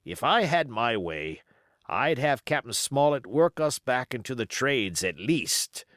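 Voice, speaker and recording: A man's voice: mid-range voice, sing-song, unhurried, fairly bright tone, no echo, studio quality. A man voicing a sly, boastful, commanding pirate cook in an audiobook, with emphatic rustic seafaring talk. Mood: scornful, exasperated. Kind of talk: audiobook character voice.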